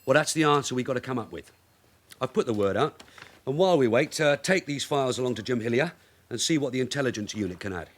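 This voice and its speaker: An actor playing a shrewd veteran detective sergeant, wry and blunt, in a naturalistic radio drama. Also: male voice, medium pitch, animated, quick pace, natural voice. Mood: brisk, wry.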